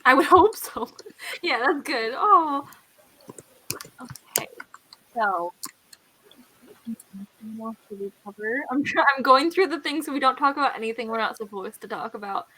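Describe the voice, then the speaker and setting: A woman hosting a casual live book discussion, giggly and animated as she reacts. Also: high voice, unhurried, long pauses, slight background noise, poor audio. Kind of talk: casual group chat.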